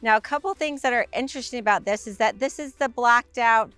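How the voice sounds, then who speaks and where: A woman with a raspy, hoarse voice giving a bubbly, upbeat sales tour of a motorhome. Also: fairly high pitch, fairly quick, a bit bright, no room sound. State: hoarse from a week of talking. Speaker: RV sales presenter. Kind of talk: enthusiastic walkaround tour.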